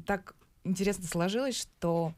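A woman's voice: mid-range voice, moderate pace, darkish tone. A woman in her thirties, a stage actress guesting in a small studio, talking hesitantly with many fillers and restarts. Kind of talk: live radio and TV studio interview. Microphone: studio boom mic.

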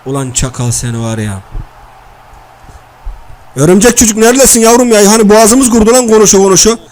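A man playing a loud, crude, bickering comedy everyman who nags in slangy repeats during cartoon-style video-game banter. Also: high voice, expressive, brisk. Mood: loud, outraged. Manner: slangy, blustering, nagging, repeats phrases. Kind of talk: comic video-game banter and match commentary.

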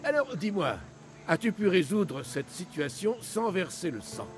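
Genial Greek philosopher, highish voice, very animated, musing and teasing, video game, music behind. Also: male voice, unhurried. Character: genial, probing bearded male Greek philosopher. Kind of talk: French game dub dialogue.

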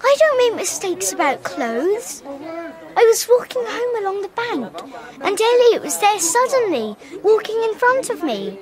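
Very high, insistent little English girl. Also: big pitch swings, a little slow, crowd noise, full character voice. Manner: insistent, earnest. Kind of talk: radio drama acting.

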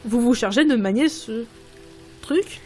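A woman playing a sardonic, flustered editor trapped as a fantasy princess, halting, blunt and exasperated, in an audio drama. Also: on the high side, darkish tone, faint music behind. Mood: sardonic, determined.

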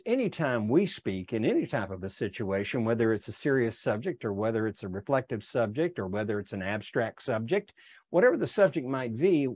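Older man, very animated, emphatic. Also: mid-range voice, no echo. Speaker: workshop presenter. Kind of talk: teaching in an online club session. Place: indoor room on a video call. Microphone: clip-on lapel mic.

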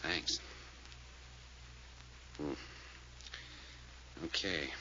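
An actor playing a methodical, unflappable American detective, speaking in a clipped, deliberate 1940s radio manner. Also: male voice, very deep voice, steady tone, bright, forceful tone, full character voice. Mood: curt, thoughtful. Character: terse, methodical, unflappable male detective leader. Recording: muffled, noisy old-time radio recording.

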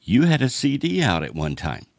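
A man's voice: mid-range voice, wildly swooping pitch, moderate pace, gravelly, no room sound, clean studio sound. A man hosting an interview over a video call, asking questions and affirming his guest with short murmurs. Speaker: host.

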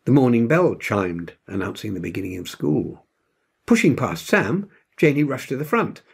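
A man in his seventies reading a children's storybook aloud with expressive narration. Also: medium pitch, normal speed, vocal fry, breathy edge. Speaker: storybook reader. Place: indoor room at home.

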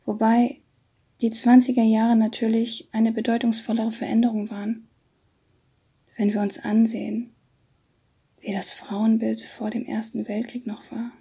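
A woman narrates a literary audiobook in a hushed, half-whispered tone. Her voice is soft and mellow, very breathy, and stays fairly even in pitch. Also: unhurried, pauses often.

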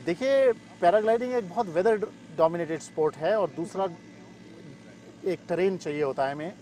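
A middle-aged man gives interview answers in a fairly high voice. He mixes English terms into his speech, recorded in an open field with clear outdoor noise around him. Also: normal speed, dark tone. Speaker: paragliding pilot and event weather expert, interview guest. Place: open grassy field in the mountains.

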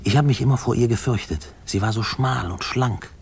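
An actor playing a man who recalls his fearful boyhood, narrating a 1950s German radio play in a hushed, halting, husky voice. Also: medium pitch. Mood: reflective, uneasy.

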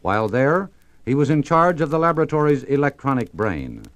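A man's voice: medium pitch, very animated, fairly quick. A man presenting a 1950s radio programme, reading a scripted introduction in a formal announcer's manner.

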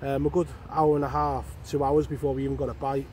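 A man, giving steady running commentary while fishing on a riverbank. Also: mid-range voice, fast. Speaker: angling vlogger. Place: outdoors on a riverbank. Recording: faint outdoor noise.

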